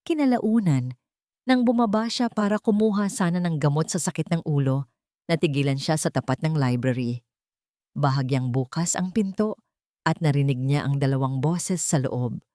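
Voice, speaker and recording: A woman narrates a romantic drama in a low-pitched voice. The delivery is scripted, dramatic storytelling, and the recording is very dry with no room sound. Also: moderate pace, dark tone, dry recording.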